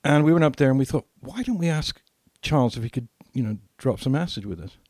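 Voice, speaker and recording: A man's voice: medium pitch, expressive, quick pace, a little mellow, no room sound, clean studio sound. An older man telling anecdotes casually as a podcast co-host, acting out bits of dialogue as he reminisces.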